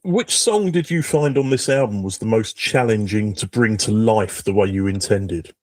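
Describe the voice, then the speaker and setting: A man interviewing a musician, asking measured, friendly questions. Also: on the low side, darkish tone, dry recording.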